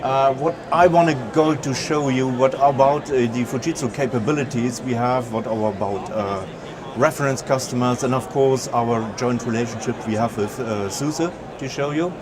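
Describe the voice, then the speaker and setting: A man from Germany with a German accent, giving a conference presentation, pausing often with filler sounds and restarting sentences. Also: mid-range voice, a crowd in the background. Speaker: conference speaker.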